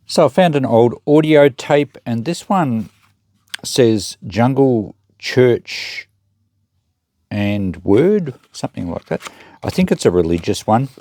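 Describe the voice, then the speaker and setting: A man's voice: medium pitch, lively intonation, slow pace, a little mellow, no room sound, studio quality. A man giving casual, unscripted commentary as he thinks aloud through a hands-on repair job. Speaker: host of a repair channel.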